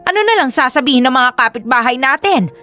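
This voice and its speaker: A woman's voice: high voice, expressive, quick pace, pushed and bright. An actress playing a domineering, judgmental middle-aged mother in a Tagalog radio drama, scolding and melodramatic. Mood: nagging. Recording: faint background music.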